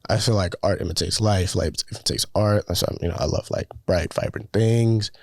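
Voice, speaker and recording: A man's voice: low-pitched, brisk, a little mellow, dry recording, clean studio sound. A young man from the Dominican Republic, a student filmmaker, talking casually and rambling with many fillers; his first language is Spanish. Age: young adult. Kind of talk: casual conversation.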